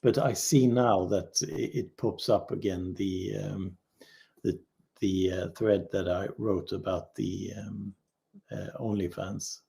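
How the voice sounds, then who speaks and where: A man speaking as a guest in a casual unscripted discussion, hesitant and halting, stretching out his thoughts with pauses. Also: low voice, steady tone, gravelly, dark tone. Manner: hesitant, halting, drawn-out phrasing with long pauses. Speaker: livestream guest.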